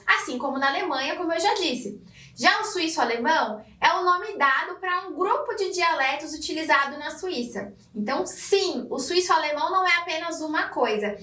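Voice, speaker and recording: A woman from São Paulo with a Paulista accent, teaching a language lesson clearly and directly to the viewer. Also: very high-pitched, pushed and bright, reverberant, recorded from a distance. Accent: Paulista Brazilian Portuguese. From Brazil (São Paulo). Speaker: German teacher. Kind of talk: explanatory online lesson.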